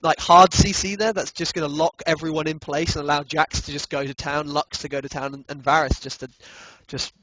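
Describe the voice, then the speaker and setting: A man's voice: on the high side, flat delivery, a little fast, few pauses, noisy background. A young man co-casting an esports match, eager and prone to repeating himself. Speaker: esports caster. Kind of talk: game commentary.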